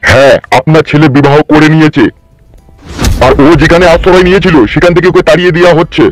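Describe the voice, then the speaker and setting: A man's voice: fairly high pitch, fast talker, faint music behind, natural voice, low-quality recording. A man voicing a pompous, brusque village tantric holy man in a comic cartoon, with a grandiose manner. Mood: boastful, brusque.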